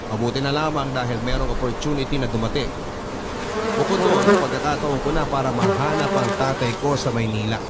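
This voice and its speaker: A man's voice: medium pitch, heavy background noise, lightly acted. An actor playing a determined young man who recounts his life in earnest, scripted first-person radio drama narration. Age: young adult. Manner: earnest, storytelling. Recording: loud music bed under narration.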